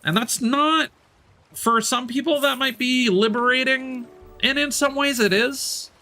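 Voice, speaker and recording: A man chatting casually as he livestreams a game, candid and reflective, in a halting, rambling way that often doubles back to correct itself. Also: very high-pitched, pushed and bright, soft background music. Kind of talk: casual streamer chat while gaming.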